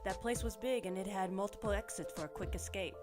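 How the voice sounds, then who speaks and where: A woman's voice: mid-range voice, fast, music in the background, close to an everyday voice. A woman playing a tough, blunt, sardonic investigator in an audio drama, slangy and wisecracking.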